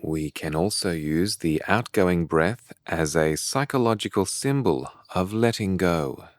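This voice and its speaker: Meditation guide, male, lowish pitch, unhurried and measured delivery with deliberate pauses, dry recording. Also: animated, no echo. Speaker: meditation teacher.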